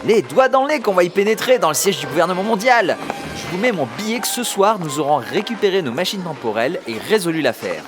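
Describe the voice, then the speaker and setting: Cocky but clueless husband guy man acting, pompous, very lively delivery, comedy audio drama w music behind. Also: sits high, quick pace. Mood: confident. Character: overconfident, earnest, bumbling husband with a grand plan.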